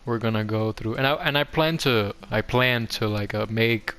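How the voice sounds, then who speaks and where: A man's voice: medium pitch, moderate pace, fairly bright tone, clean tone. A young man giving a casual, offhand game tour commentary, rambling along in a self-deprecating way. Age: young adult. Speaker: gaming video host. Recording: music behind the voice. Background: background music.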